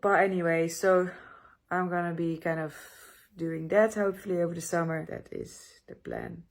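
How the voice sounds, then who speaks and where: Breathless woman vlogger. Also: on the low side. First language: Dutch. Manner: tired, hesitant, frequent restarts. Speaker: travel vlogger. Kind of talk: casual talk to camera.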